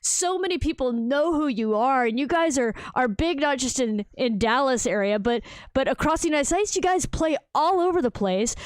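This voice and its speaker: A bubbly woman host with a higher, smooth voice chats excitedly with a guest over a video call. She laughs easily and drops "dude" and "like" into her questions. The sound is close and dry, with no room around it. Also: dry recording. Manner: bubbly, laughs often, says "dude" and "like" a lot. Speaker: show host. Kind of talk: casual video-call interview.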